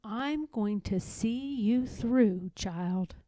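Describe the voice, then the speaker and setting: A woman voicing God as a calm, protective spirit who speaks solemnly and reassuringly, as in a storybook. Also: on the high side, extremely slow, dry recording. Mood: gentle reassurance.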